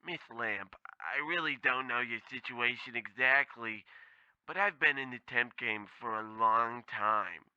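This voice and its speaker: A man's voice: mid-range voice, unhurried, pushed and bright, clear, resonant tone, no echo, dull audio. A man playing a blithely tactless temp agency clerk who rambles and bumbles in a comedy radio play. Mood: bluntly discouraging. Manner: rambling, bumbling, bluntly insensitive.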